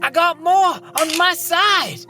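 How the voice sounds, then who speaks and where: A woman's voice: very high-pitched, slow, over loud music. A woman voicing a brash, defiant carnival youngster in an audio horror drama, full of slangy talk.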